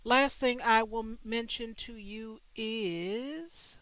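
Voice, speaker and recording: A woman's voice: on the high side, slow pace, a bit bright. A woman hosting a livestream, talking in an easygoing, conversational way. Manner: easygoing, conversational, many fillers. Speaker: host. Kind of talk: casual livestream chat.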